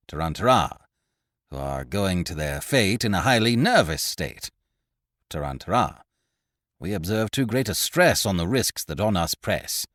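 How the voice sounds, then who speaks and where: A chorus of timid policemen mutters and chants in low voices, done by a man. They sound hesitant and slow, with a dry sound. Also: fairly bright tone, no echo.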